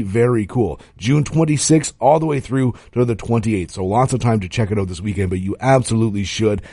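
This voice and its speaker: A man's voice: mid-range voice, darkish tone, no room sound. Guy on morning radio, bit stuffed up and nasally, dry close studio sound. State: has a cold. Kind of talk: casual radio chat. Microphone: studio microphone.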